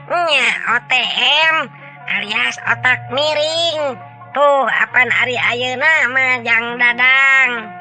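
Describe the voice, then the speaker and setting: Shrill, slow-talking impish sage. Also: male voice, heavily acted. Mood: explanatory, wry. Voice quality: shrill, bright. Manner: slow, teasing, explanatory. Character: impish, cackling, wise riddle-explaining companion. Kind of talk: dramatized folk storytelling. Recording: music behind the voice.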